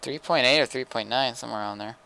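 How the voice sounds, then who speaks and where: A man chatting casually while gaming, low-key and informal, sometimes half talking to himself. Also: fast talker, vocal fry, sharp tone. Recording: faintly noisy gaming mic.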